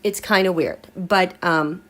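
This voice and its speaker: A woman's voice: fairly low pitch, takes it slowly. A woman hosting a live tarot and astrology stream, chatting casually with a congested voice as she recovers from illness. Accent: American. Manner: chatty, rambling, animated asides. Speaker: tarot and astrology livestream host.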